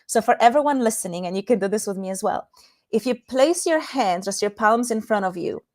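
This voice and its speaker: A woman's voice: a little high, animated, a little fast, darkish tone. A woman from Poland with a Polish accent, speaking as an expert guest in an interview and explaining things conversationally with examples. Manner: explanatory, illustrates points with examples. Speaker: guest intimacy and tantra expert.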